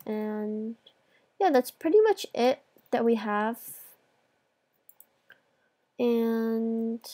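A woman's voice: high voice, darkish tone. A woman hosting a video game tour, chatting informally as she gives casual commentary on what she is showing.

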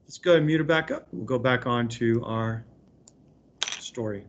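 A man's voice: medium pitch, normal speed, a little hiss or hum. A man speaking as an elementary school teacher, reading aloud and explaining to a remote class of children in an encouraging way.

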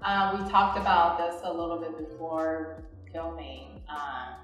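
A young woman from Taiwan hosting an interview channel, asking friendly, slightly halting questions in a gallery room. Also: low voice, level tone, fairly bright tone. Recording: very echoey, distant from mic, faint music.

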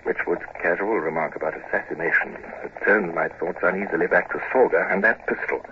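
An actor playing a serious, brooding British naval commodore, earnest and formal, in a 1950s radio drama. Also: male voice, low-pitched, a little fast, close to an everyday voice. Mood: uneasy. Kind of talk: 1950s radio drama acting and first-person narration. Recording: noisy, dull old radio recording.

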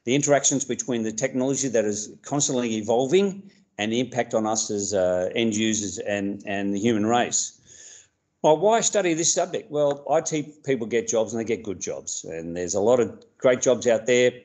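A man teacher with an Australian accent presenting a course to students, halting often with ums and uhs. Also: medium pitch, darkish tone. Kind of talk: recorded subject talk to students.

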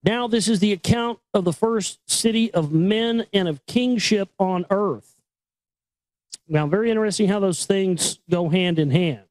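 A man's voice: high voice, measured pace, darkish tone, low-quality recording. A man hosting a book reading with his own blunt, emphatic, repetitive commentary, swearing freely.

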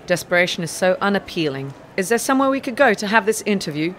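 A woman plays a cool newspaper reporter who presses her questions in a crisp, needling and self-assured way. Her voice sits at a middle pitch. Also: sing-song, fast talker, fairly bright tone, slight background noise.

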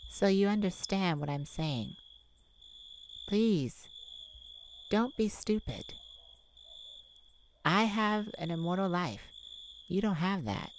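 A woman voicing a playful, flirtatious immortal vampire in an intimate ASMR roleplay, teasing and dramatic with lingering pauses. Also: fairly low pitch, darkish tone, slight background noise. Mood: serious, wistful.

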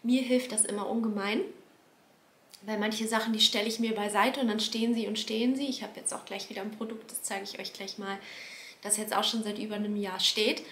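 A woman's voice: medium pitch, steady tone, a little fast, a bit bright. A woman in her forties talks through a beauty product update in a chatty, rambling way, correcting herself often. A slight cold gives her voice a nasal edge. She was recorded at home on a fixed camera, with a roomy echo and a faint background hiss. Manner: chatty, rambling, self-correcting with many fillers. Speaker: beauty vlogger. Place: home room, indoors.

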